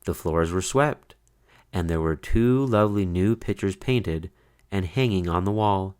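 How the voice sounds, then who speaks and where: A man narrating a children's storybook in a dramatic read-aloud style, with deliberate phrasing and pauses. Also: sits low, a little mellow, smooth.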